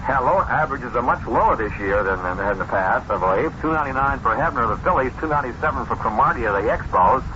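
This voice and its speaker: A man's voice: mid-range voice, animated, fast, few pauses. A man working as a radio baseball broadcaster, reading out statistics on air. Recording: noisy, dull old radio broadcast.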